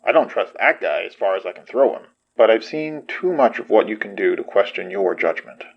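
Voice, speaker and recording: Wary male friend acted by a man, creaky low voice, dry delivery, horror podcast. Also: lively intonation, fairly quick, exaggerated voice. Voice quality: gravelly, husky. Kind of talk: horror audio drama acting. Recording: slightly dull sound.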